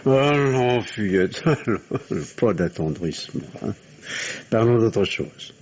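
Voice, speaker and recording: A man's voice: on the low side, expressive, slow, a little mellow. An actor playing an anxious, evasive middle-aged family doctor and father in an old-style radio drama, hesitant and theatrical. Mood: brusque, dismissive. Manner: hesitant, theatrical, repeats phrases reassuringly. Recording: muffled old radio recording.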